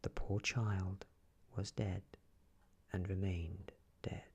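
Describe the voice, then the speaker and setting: A man reading a fairy tale as soft-spoken ASMR, gentle and hushed, often slipping into a whisper. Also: deep voice, level tone, slow pace, vocal fry, breathy edge, highs cut off. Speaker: ASMR storyteller.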